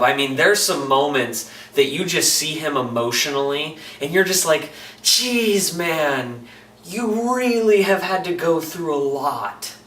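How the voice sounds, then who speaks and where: A man's voice: on the high side, fairly bright tone. A man reviewing a film in a casual, enthusiastic vlog style, talking colloquially and animatedly and acting out reactions in quoted asides. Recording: roomy echo, distant microphone.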